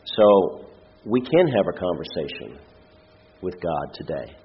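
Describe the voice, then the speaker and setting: A man preaches informally to a congregation in a lowish voice, stuffed up and nasal from a cold. He speaks plainly and haltingly, as a lay speaker does. The recording is a little dull and muffled. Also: big pitch swings. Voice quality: nasal, congested. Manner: halting, hesitant, plain-spoken. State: has a head cold. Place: church, speaking before a congregation.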